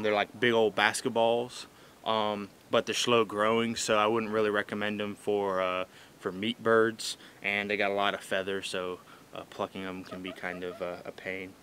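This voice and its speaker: A man in his thirties talking casually outdoors in a farmyard, halting with many fillers and restarts. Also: fairly low pitch, pushed and bright, a little hiss or hum. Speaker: backyard chicken keeper. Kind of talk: casual unscripted vlog. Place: outdoors in a sunny pine-wooded farmyard. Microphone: camera mic at close range.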